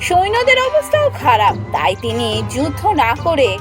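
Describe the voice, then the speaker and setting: A woman's voice: high voice, wildly swooping pitch, moderate pace. A woman narrating a scripted fairy tale for a cartoon as its storyteller. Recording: music under the voice.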